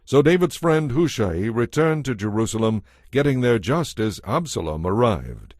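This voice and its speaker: A man's voice: mid-range voice, sing-song, normal speed, no room sound, studio quality. A man narrating a dramatized Bible story from a script.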